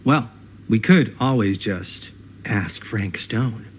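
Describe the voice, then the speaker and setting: A man's voice: on the low side, expressive, slow pace, slight background noise. An actor playing an easygoing but cautious young American man in a video game, speaking in a casual, slightly hesitant and wry way. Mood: playful. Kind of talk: naturalistic video game acting.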